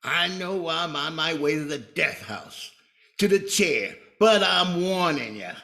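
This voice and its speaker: Casting: vengeful radio-drama gangster, sharp gravelly edge, threatening tough-guy delivery. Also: a little high, animated, measured pace, exaggerated voice. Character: vengeful, menacing gangster condemned for murder. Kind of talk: old-time radio crime drama acting.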